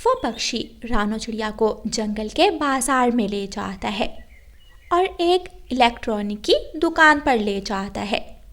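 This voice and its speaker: A woman's voice: a little high, animated, measured pace, fairly bright tone. A woman narrating a scripted children's story.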